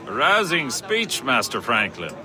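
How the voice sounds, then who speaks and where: An actor playing a courtly, commanding colonial gentleman in a video game cutscene, formal and polished in manner. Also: mid-range voice, wildly swooping pitch, measured pace, noisy background. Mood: courteous. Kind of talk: dramatic game cutscene dialogue.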